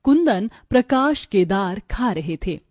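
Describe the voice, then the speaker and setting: A woman's voice: medium pitch, big pitch swings, a little slow, darkish tone. A woman narrating a scripted story as the storyteller.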